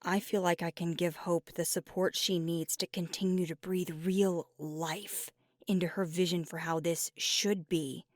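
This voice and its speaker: Girl doing a werewolf gf for asmr, slow n careful, kinda low breathy voice, candid and loyal, torn, right up on the mic. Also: fairly even intonation, dry recording, clean studio sound, natural voice. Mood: resolute. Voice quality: soft, often breathy and near-whispered. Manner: deliberate, candid, casually profane. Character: fiery young female werewolf girlfriend, earnest, conflicted, loyal. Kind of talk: intimate ASMR roleplay monologue. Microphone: close microphone, dry.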